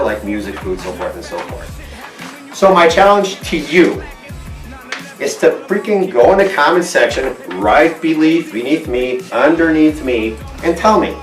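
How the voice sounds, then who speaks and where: A man's voice: medium pitch, roomy sound, far from the mic. A man from Portugal, a car vlogger, talking bluntly and swearing freely in a casual monologue at home in front of a green screen. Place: inside his house, in front of a green screen.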